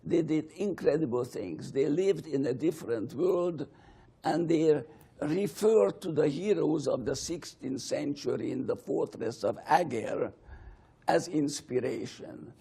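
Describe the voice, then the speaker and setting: Gravelly, husky man. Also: a little high, measured pace, mellow. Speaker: panelist. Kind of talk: earnest explanation.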